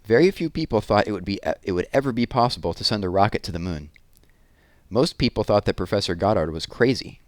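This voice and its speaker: A man's voice: on the low side, no echo. A man reading a children's true story aloud as host and narrator.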